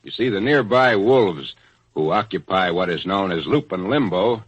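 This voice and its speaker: Male afterlife official: courteous, precise, deep husky voice, old-time radio drama. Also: expressive, moderate pace, highs cut off. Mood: calm, explanatory. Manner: formal, precise, dryly explanatory. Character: courteous, unruffled male official guide in purgatory. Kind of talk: 1940s radio acting.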